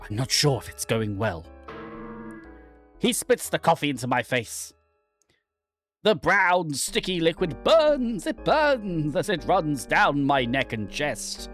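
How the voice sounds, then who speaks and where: An anxious, tormented submariner played by a man reading a horror visual novel, slow and agitated, with pitch that leaps around. He tells his own story dramatically over music. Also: big pitch swings, fairly bright tone, full character voice. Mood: dread.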